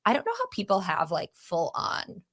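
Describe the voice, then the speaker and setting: A woman of thirty-one, a comedian guest in casual chat, animated and self-deprecating. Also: on the low side, normal speed, slightly rough.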